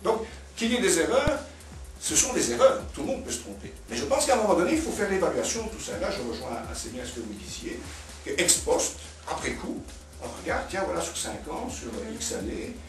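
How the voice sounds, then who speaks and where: A man's voice: fairly high pitch, very animated, fairly quick. A man speaking off the cuff as an expert in a debate, setting out his points didactically and repeating words for emphasis. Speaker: invited expert in a political debate. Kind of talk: spontaneous argued commentary in a round-table debate. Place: roomy cafeteria, indoors. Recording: echoey room, distant mic, music behind.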